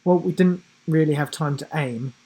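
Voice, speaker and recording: A man's voice: fairly high pitch, moderate pace, dark tone, husky, pitched above the performer's own voice. A man voicing a young boy hero, hesitant but determined, in a children's adventure storybook.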